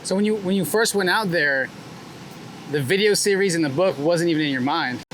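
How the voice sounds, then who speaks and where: A man's voice: a little high, brisk, a bit bright, poor audio. A man hosting a casual street interview, putting short questions to his guest. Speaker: interviewer, show host. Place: outdoors on a city street. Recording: street traffic noise, outdoors.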